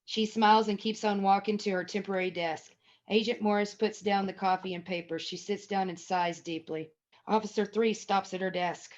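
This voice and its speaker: A woman narrates a screenplay, reading scene headings and action. Her pitch is average for a woman, and the recording sounds a little dull and lo-fi. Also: steady tone, normal speed. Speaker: narrator reading stage directions. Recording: dull, lo-fi audio.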